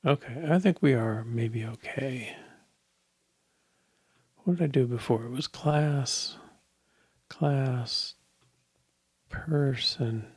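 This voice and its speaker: A man's voice: medium pitch, slow pace, pauses often, breathy edge, dry recording. An older man thinking aloud while coding live in a small home office, dropping into half-whispered asides. He says his voice is a bit off. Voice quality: voice a bit off, slightly strained. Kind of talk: casual live coding commentary.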